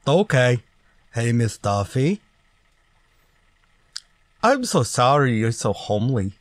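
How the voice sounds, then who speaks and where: An actor playing a dim-witted, guileless tavern regular in 1940s radio comedy style. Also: male voice, mid-range voice, very animated, no room sound. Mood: puzzled, guileless. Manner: slow-witted, blunt, guileless.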